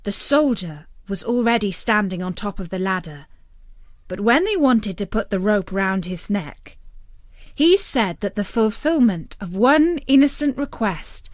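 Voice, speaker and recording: Slow, animated woman storyteller. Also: medium pitch, no room sound, studio quality. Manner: expressive, deliberate storybook delivery. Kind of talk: scripted fairytale narration.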